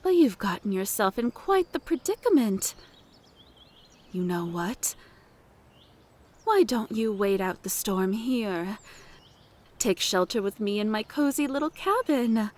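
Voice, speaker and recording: A woman's voice: mid-range voice, sing-song, unhurried, pauses often, a little mellow. A woman playing a flirtatious, mature, motherly cabin owner in an ASMR roleplay, coaxing and doting with pet names.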